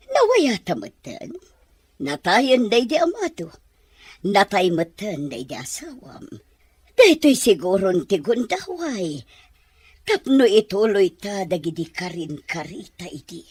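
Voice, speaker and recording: Woman acting a melodramatic Filipino madam, slow and lovesick. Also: medium pitch, huge pitch swings, darkish tone, husky, dry recording, exaggerated voice. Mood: pleading. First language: Ilocano. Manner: melodramatic, drawn-out. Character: lovesick, imperious older madam, theatrical and wounded. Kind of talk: Ilocano audio drama acting.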